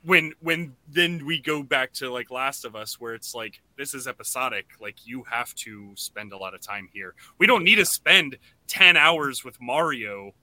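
Very bright, sharp-voiced man. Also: mid-range voice, moderate pace, few pauses, no room sound, rough recording. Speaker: podcast co-host. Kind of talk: casual panel discussion.

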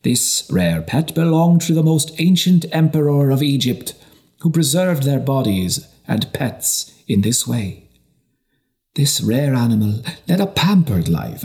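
A man's voice: mid-range voice, sing-song, slow, a little mellow, voice pushed up. A wily travelling trader played by a male narrator peddles exotic rarities. He speaks in grandiose salesman's patter, as in a historical audiobook. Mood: grand, wondrous. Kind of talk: audiobook character reading.

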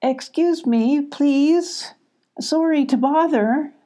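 A woman's voice: high voice, takes it slowly, warm tone, voice pushed up. A woman voicing a polite, eager, plodding male beaver in a children's storybook. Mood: apologetic.

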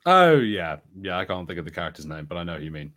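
A man's voice: deep voice, sing-song, quick pace, a bit bright. A man co-hosting a podcast, talking casually and conversationally. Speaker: podcast co-host. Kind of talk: casual pop culture discussion.

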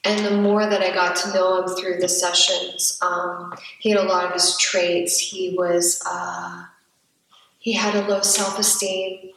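A woman speaking hesitantly with many pauses and fillers as she reflects on her work with a client. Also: on the low side, flat delivery, vocal fry, a bit bright, recorded from a distance. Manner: slow, hesitant, many ums. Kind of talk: reflective interview answer. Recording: echoey room, off-mic.

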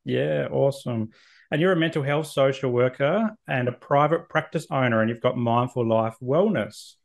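A man's voice: medium pitch, moderate pace, creaky. An Australian man hosting a podcast, talking as a presenter and interviewer. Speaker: podcast host.

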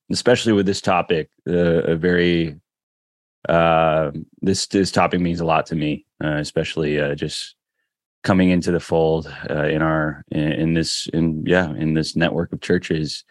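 A man, a pastor guesting on a panel, chatting casually in a halting, slangy way. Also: low-pitched, a bit bright, no echo, studio quality. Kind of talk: casual chat.

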